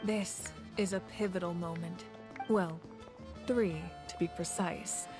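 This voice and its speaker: Game role: a calm, resolute female ruler, measured and earnest, faint music behind. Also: lowish voice. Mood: solemn, resolute. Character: calm, resolute woman war leader and ruler. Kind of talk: dramatic game dialogue. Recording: background music.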